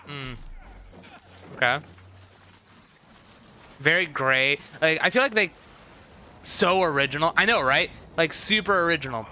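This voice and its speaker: A man's voice: on the high side, expressive, measured pace, pauses often, bright, forceful tone. A man chatting casually to viewers as a livestreamer, giving commentary with sarcastic, unimpressed asides.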